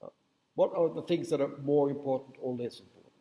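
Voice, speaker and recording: A man's voice: medium pitch, a little fast, warm tone. Man lecturing in an echoey hall. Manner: conversational, digressive, checks in with the audience. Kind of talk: university lecture. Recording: echoey hall with long reverb.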